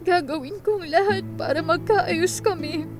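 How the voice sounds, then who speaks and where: A woman playing a hurt, soft-hearted young twin sister in a radio drama, plaintive, reluctant and emotional. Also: very high voice, measured pace, music in the background. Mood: tearful, remorseful.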